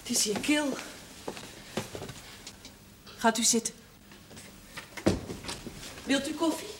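Shy young village woman, high voice, hesitant, polite, noisy old TV soundtrack. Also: slow pace. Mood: uneasy. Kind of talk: naturalistic television drama acting.

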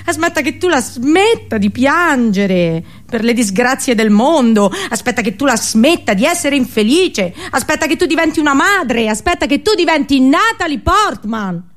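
A woman actor delivering a theatrical radio drama monologue, dramatic and halting, with deliberate pauses and repetitions. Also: sits high, animated. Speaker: actress performing a monologue.

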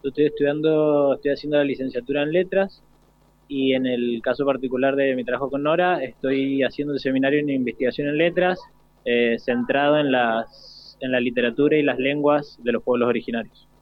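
Young argentine guy, student getting interviewed, normal pitch, tinny phone-like sound. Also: steady tone, brisk. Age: young adult. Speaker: university literature student as guest. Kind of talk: unscripted interview answer. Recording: thin phone-like radio sound.

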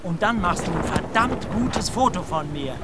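An actor playing a brash, fearless ship's photographer, brisk and commanding, in a German radio drama. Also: male voice, sits high, animated. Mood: brisk, casual. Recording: loud wind and sea noise outdoors. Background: ship at sea ambience.

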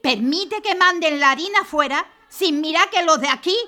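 A woman's voice: very high-pitched, moderate pace, bright, forceful tone. A woman in an audio drama playing a defiant working-class protest leader, firm and declamatory, with a Spanish accent from Spain. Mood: indignant.

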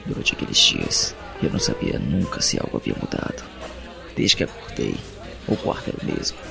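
A man with a Brazilian Portuguese accent playing an anguished captive in an audio drama, narrating his imprisonment in a brooding, deliberate monologue. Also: extremely low pitch, big pitch swings, creaky, heavily acted. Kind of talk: dramatic first-person monologue. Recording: music bed under the voice.

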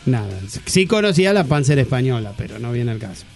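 A man's voice: mid-range voice, very animated, fast talker. A man with an Argentine accent, chatting and joking casually as a radio co-host. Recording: loud music under the voices. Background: music.

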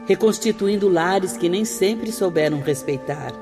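An actor playing a solemn, stern spirit instructor, preaching formally and deliberately in a radio drama. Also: mid-range voice, natural voice. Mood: grave, instructive. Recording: long reverb, music behind.

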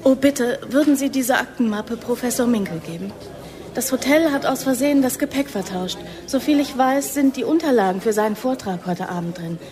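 A woman's voice: a little high, expressive, normal speed, crowd noise, natural voice. An actress playing a vulnerable young woman working as a reluctant undercover agent in a radio drama. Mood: polite, urgent.

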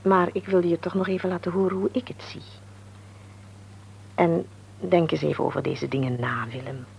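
An actress playing a devout, gentle older mother in a radio drama, speaking earnestly and haltingly as she searches for words. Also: on the low side, fairly even intonation, creaky, slight background noise. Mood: gentle, reassuring. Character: devout, gentle old mother counselling her stubborn son.

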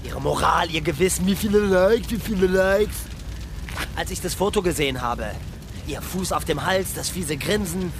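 An actor in a radio crime drama playing a bitter, ruthless political aide who speaks with cutting contempt. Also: male voice, sits high, moderate pace, gravelly, background noise. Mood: scornful, furious. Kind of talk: naturalistic German radio play acting.

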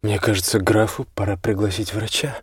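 A man's voice: fairly low pitch, level tone, fairly quick, husky, no echo, studio quality. A man voicing a firm, frank marquis in an audiobook, speaking sternly and insistently as a concerned friend.

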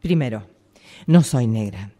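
A woman's voice: rumbling low, expressive, a little slow, mellow, exaggerated voice. A woman with a Rioplatense accent playing an authoritarian, evasive boss, curt and sarcastic, in amateur radio theatre. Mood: irritated. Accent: Rioplatense Argentine Spanish.

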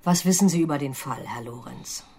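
An actress playing a stern, impatient criminal investigator in a radio crime drama, terse and matter-of-fact in German. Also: female voice, very deep voice, fairly quick, darkish tone, breathy edge, heavily acted. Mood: probing, stern.

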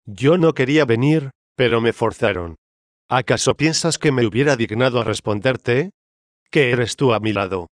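Un hombre que pone voz al espíritu de una reina difunta, orgullosa y desdeñosa, que responde con altivez. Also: male voice, medium pitch, moderate pace, no echo, studio quality, natural voice. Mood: indignant. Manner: disdainful, imperious. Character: haughty dead queen's spirit, woman, proud, scornful.

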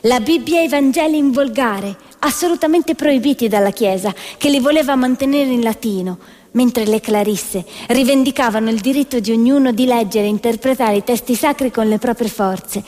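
A woman's voice: fairly high pitch, normal speed, darkish tone. A woman actress delivering scripted theatrical narration in a declamatory storytelling manner. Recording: reverberant hall with music behind. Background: music.

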